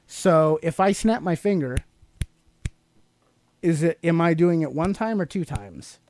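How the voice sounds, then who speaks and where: Man, animated pitch, mellow tone, teacherly podcast co-host, simple explanations, casual. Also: unhurried, no echo. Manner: teacherly, asks leading check questions. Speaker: podcast co-host and father explaining to his child. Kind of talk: casual explanatory talk with a child.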